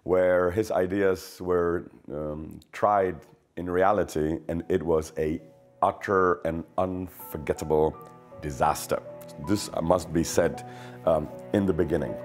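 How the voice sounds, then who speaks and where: A man talks through an idea in an interview, pausing on fillers and correcting himself as he goes. His voice sits low and steady. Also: soft background music. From former Czechoslovakia. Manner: thoughtful, hesitant with fillers and restarts. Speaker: interviewed economist. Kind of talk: explanatory interview answer.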